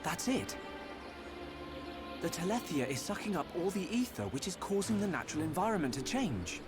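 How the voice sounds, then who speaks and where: A man's voice: high-pitched, big pitch swings, moderate pace. A man playing an earnest, curious and determined young sword-wielding hero in a video game. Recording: loud music behind the voice.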